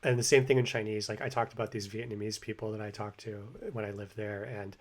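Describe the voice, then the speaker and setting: A low-voiced American man talks through an idea in a loose, casual vlog. He restarts his sentences often and fills the gaps with "like" and "um". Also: flat delivery, fast. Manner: rambling, many fillers and restarts. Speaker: language-learning vlogger. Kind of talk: casual explanatory monologue. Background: construction hammering and sawing overhead.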